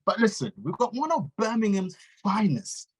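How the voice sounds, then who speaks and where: Man, higher-pitched voice, interview host, casual and slangy, encouraging. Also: very animated, normal speed, husky. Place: remote video call.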